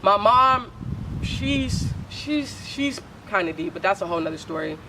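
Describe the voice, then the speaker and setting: Slangy, candid young woman with a bright voice. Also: animated, measured pace, a little hiss or hum, poor audio. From Oakland, California. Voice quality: deep voice for a woman. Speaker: poet guest. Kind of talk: casual interview.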